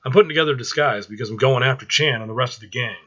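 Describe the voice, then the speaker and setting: Man acting out a brash, hot-headed teenage boy, bright voice. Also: medium pitch, racing, slightly rough, muffled recording. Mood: determined. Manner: blunt, cocky, slangy.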